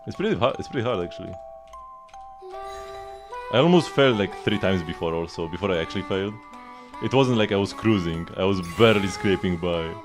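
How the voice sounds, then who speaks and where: Man, fairly low voice, casual livestream chat, rambling, self-deprecating, game music clearly in the background. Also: animated, fairly quick, pauses often. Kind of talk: casual live gameplay chat.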